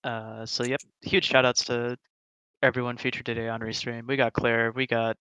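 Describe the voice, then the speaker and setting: A man's voice: mid-range voice, level tone, normal speed, pushed and bright, clean tone, no echo. A man with a Wisconsin accent, a commentator wrapping up a broadcast in an easygoing, rambling, self-deprecating way. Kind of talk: casual broadcast sign-off.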